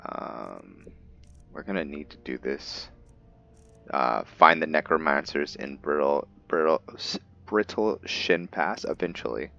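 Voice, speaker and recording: A man's voice: extremely low pitch, animated, a little slow, vocal fry, pushed and bright. A man giving casual roleplay commentary on a game, thinking aloud and slipping into character. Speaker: gaming host. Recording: dull lo-fi mic, music behind.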